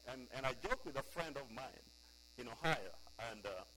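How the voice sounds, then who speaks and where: Man preaching with bright emphasis. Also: mid-range voice, animated, normal speed, a little hiss or hum, poor audio. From Kenya. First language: Luo. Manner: emphatic, repeats key phrases, swings from soft to loud. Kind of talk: sermon.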